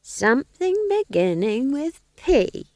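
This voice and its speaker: A woman reading aloud, voicing an earthy, bossy older woman witch with a slow, teasing drawl. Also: high-pitched, sing-song, darkish tone, dry recording, studio quality, pitched above the performer's own voice, exaggerated voice.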